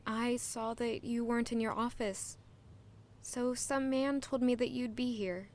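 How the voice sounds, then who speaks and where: A woman in an audio drama playing a caring young maid, speaking gently and earnestly to reassure. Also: on the high side, steady tone, measured pace, lightly acted.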